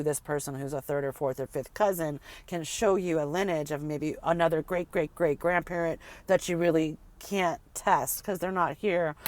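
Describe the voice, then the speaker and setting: A middle-aged woman ranting casually to camera, rambling and emphatic, repeating words for effect. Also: deep voice. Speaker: vlogger.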